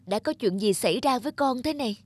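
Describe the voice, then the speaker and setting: A woman voicing a gentle, wise mother dog who comforts her child in a cartoon. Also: on the high side, animated, fast talker, loud music behind the voice, close to an everyday voice. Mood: tender, soothing. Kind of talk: children's cartoon storytelling dub.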